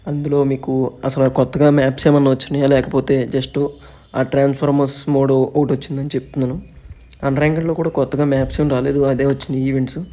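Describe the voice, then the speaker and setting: A man's voice: mid-range voice, warm tone. A man giving casual commentary on a game update as a gaming channel host.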